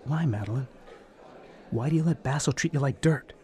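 A man's voice: mid-range voice, moderate pace, warm tone, natural voice. A man playing a blunt, pleading, protective friend in a noir radio drama. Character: exasperated, protective male friend of a singer.

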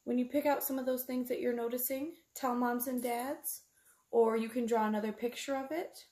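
A woman's voice: fairly high pitch, fairly even intonation, moderate pace, a little mellow. A woman, reading a picture book aloud like a teacher, explaining simply to young children and asking them questions. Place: small room at home, indoors.

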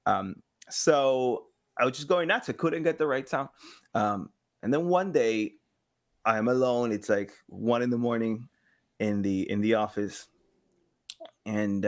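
A man in his thirties from South America, telling stories casually as an interview guest, acting out quotes and pausing to find words. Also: fairly low pitch. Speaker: podcast guest, sound designer. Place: small home room, video call. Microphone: boom-arm microphone with headset.

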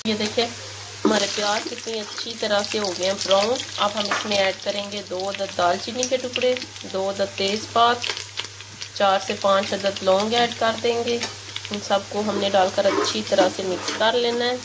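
A woman narrating a cooking recipe step by step, in a steady, instructive way, in a home kitchen. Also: medium pitch, normal speed, heavy background noise. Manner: steady, instructive, repeats "ye dekhiye". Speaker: cooking channel host. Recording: loud kitchen sizzle behind.

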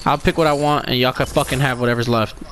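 A young man streaming a video game, chatting casually with his squad in an excitable, profanity-laden way. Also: medium pitch, quick pace, a little hiss or hum. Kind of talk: casual gameplay chat with squad.

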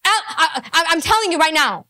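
A woman's voice: extremely high pitch, expressive, a little fast, bright, forceful tone, no echo. A woman in her thirties hosting a satirical monologue, boastful, indignant and theatrical, close on a studio desk mic. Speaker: show host. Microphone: close desk microphone.

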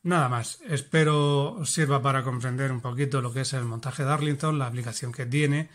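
A man's voice: medium pitch, normal speed. A man teaching an electronics lesson. He explains each step as he works and keeps checking in with his listeners. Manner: explanatory, checks in with frequent "vale?". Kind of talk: hands-on lesson demonstrating and calculating.

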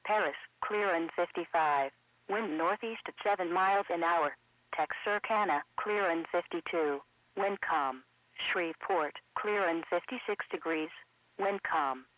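A synthetic man's voice reading a scripted weather bulletin in a measured, impersonal way as an automated radio announcer. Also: high-pitched, dry recording. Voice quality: synthetic text-to-speech voice. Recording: muffled radio broadcast.

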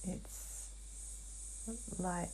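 A woman channeling in a hypnotic session, answering in halting, fragmented phrases with long pauses. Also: dark tone, slight background noise, low-quality recording. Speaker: client in a hypnotic healing session. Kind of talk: slow trance channeling, answering questions.

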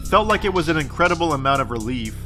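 A man hosting a true crime podcast, reading a scripted narration in a steady, measured way. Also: fairly high pitch, music in the background. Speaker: podcast host.

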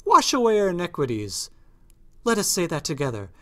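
Male narrator as horrified painter in a classic novel; agitated, pleading, hurried, extremely animated pitch. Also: exaggerated voice. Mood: desperate pleading. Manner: agitated, rushed, exclamatory. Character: anguished, moralising gentleman painter, horrified and pleading. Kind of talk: dramatised classic novel reading.

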